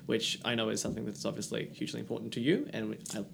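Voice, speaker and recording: A man hosting a casual interview in an earnest, halting manner, with a creaky voice. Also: on the low side, little pitch movement, noisy background. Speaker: podcast host and interviewer.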